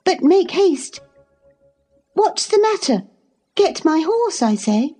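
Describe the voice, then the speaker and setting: A woman's voice: big pitch swings, unhurried, mellow, soft background music. A woman voicing a spoiled, indignant young girl who gives orders and turns tearful, in a storybook reading. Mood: imperious. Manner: haughty, commanding, high and pleading.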